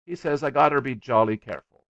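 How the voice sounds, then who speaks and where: A man's voice: mid-range voice, fast talker, fairly bright tone, no echo, highs cut off, voice pushed up, close to an everyday voice. A man voicing a stubborn, self-important young schoolboy in a story, with a dogged, earnest, colloquial manner. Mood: bravely suffering.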